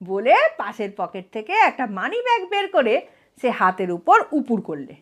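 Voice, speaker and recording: A woman storyteller reading a comic short story aloud in an expressive narrating style. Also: fairly high pitch, normal speed.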